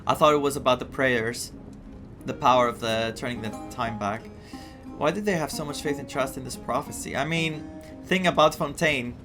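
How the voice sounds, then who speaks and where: A man's voice: medium pitch, moderate pace. A man from Greece, casually reacting as he streams a game. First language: Greek. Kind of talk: casual gameplay reaction and reading aloud. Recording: game music behind the voice.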